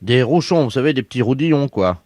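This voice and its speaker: A man playing a matter-of-fact town road-works official in a deadpan prank call, colloquial, insistent and faintly exasperated. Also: medium pitch, fairly quick, dry recording, clean studio sound, close to an everyday voice. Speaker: prank caller.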